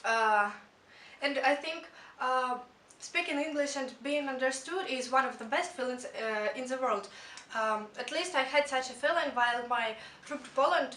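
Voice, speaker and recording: A woman's voice: high-pitched, bright, forceful tone, slight background noise. A teenage girl giving a rehearsed student presentation in an earnest way. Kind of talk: recited speech presentation. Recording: roomy, from a distance.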